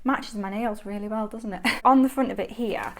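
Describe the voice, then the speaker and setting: A woman's voice: mid-range voice, moderate pace. A young woman with a Manchester accent, chatting enthusiastically as she shows her handmade planner notebook on camera. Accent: Mancunian. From Manchester, England. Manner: chatty, enthusiastic, laughs often. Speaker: planner vlogger. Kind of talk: casual show-and-tell chat.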